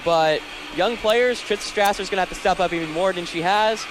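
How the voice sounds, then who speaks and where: A man's voice: sits high, brisk, noisy background. A young man giving live analysis as a color commentator on a volleyball broadcast.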